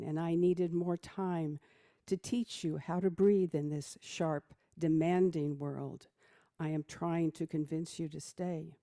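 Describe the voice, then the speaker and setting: A woman reading a poem aloud during a worship service, soft-spoken and gentle. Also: low voice. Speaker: worship reader.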